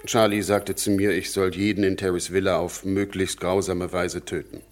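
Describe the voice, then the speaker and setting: A man's voice: deep voice, flat delivery, moderate pace, faint music behind, natural voice. A man playing a young cult follower who gives a plain account of his orders in a German radio play. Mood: matter-of-fact.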